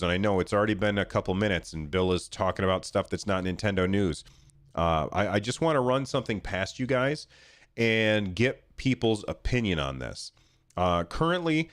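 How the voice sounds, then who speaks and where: A man's voice: on the low side, dry recording. A man hosting a podcast solo in casual, chatty talk, sounding nasal and congested with a cold, speaking directly to listeners on a close microphone. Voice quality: nasal, stuffed-up. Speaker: podcast host.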